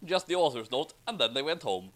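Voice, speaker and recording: A man's voice: a little high, big pitch swings, a little fast, fairly bright tone. A man giving casual commentary as the author of a story.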